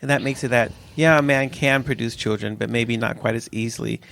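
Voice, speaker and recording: A man's voice: medium pitch, steady tone, fairly quick, gravelly, a bit bright, a little hiss or hum. A middle-aged man co-hosting a podcast, joking and opinionated in casual banter. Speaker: podcast co-host.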